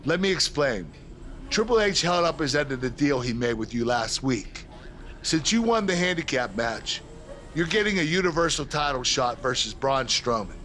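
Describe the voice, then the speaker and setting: A man playing a calm backstage wrestling manager who explains things in a scripted game cutscene. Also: mid-range voice, normal speed, a little hiss or hum, lightly acted. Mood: matter-of-fact.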